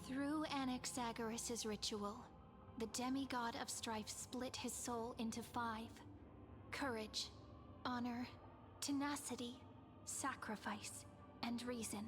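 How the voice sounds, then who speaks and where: Solemn game legend, measured, clear music behind. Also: female voice, a little high, fairly even intonation. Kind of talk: scripted narration. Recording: game narration over music.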